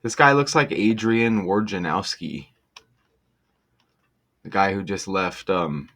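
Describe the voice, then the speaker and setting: A man presenting an online video in a casual, off-the-cuff way, halting and emphatic, often checking in with the listener. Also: low voice, animated, a bit bright. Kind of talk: casual off-the-cuff explainer with numerology.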